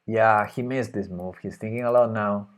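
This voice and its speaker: A man's voice: fairly low pitch, fairly even intonation, normal speed. Spanish man muttering chess moves aloud. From Spain. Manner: thinking aloud, hesitant, muttering move names. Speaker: chess streamer. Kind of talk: live chess commentary while playing.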